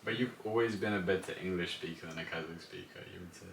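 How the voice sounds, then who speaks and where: Deep-voiced male host, off mic. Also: fast, vocal fry, distant mic, a little hiss or hum. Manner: brief prompting questions. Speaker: podcast host. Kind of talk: casual interview conversation. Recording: echoey room, a little off mic.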